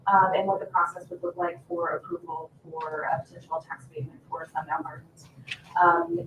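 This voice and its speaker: An American woman, an economic development official, haltingly presenting figures at a formal meeting. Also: fairly low pitch. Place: indoor town council meeting room. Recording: echoey meeting room, distant mic, faint noise.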